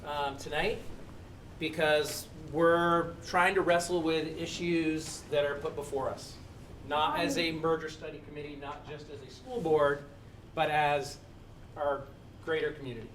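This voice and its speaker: A man's voice: on the high side, level tone, a little slow, fairly bright tone, far from the mic, slight background noise, low-quality recording. A middle-aged man presenting at a school committee public meeting, earnest and thankful, with some restarts. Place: school building meeting room.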